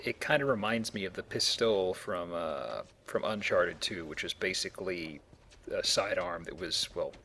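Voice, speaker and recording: A man's voice: low voice, gravelly, bright, forceful tone, a little hiss or hum. Rambling man chatting over a video game. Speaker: let's play host, playing the game. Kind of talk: casual chat commentary over gameplay.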